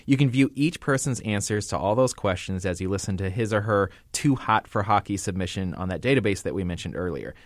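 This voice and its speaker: A man from eastern Iowa with a Midwestern accent co-hosts a podcast in a relaxed, easygoing way. His voice sits slightly low in pitch, and the recording is close and dry. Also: fairly quick, few pauses, dry recording. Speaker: podcast co-host. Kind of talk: casual podcast chat.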